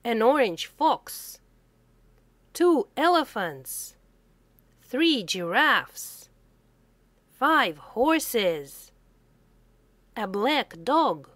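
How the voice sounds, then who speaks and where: A woman reads a children's picture book aloud at a very slow, deliberate pace. Her pitch rises and falls in a lively sing-song way. The recording is dry and close. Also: no echo. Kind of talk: slow read-aloud for young learners.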